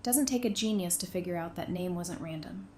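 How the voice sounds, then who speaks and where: A woman's voice: fairly low pitch, brisk, a little mellow, slight background noise, lightly acted. A woman playing a guarded, dry-witted therapist in an audio drama, blunt and challenging with a prisoner. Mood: probing, guarded.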